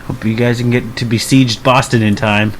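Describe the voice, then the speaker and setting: A man's voice: mid-range voice, level tone, moderate pace, slight background noise, low-quality recording. A man playing a game on a livestream, commenting casually on his moves with exclamations and swearing. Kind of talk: casual gameplay commentary, reacting aloud.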